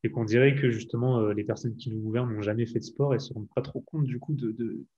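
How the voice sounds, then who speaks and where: A man's voice: mid-range voice, fairly even intonation, quick pace, mellow, dull audio. A man from France hosting a fitness podcast, asking long rambling questions full of fillers. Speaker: podcast host. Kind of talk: casual podcast interview.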